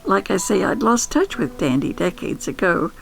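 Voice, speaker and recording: An older woman narrating nostalgic personal stories as a podcast storyteller, reflective and unhurried. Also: medium pitch, sing-song, vocal fry, soft background music.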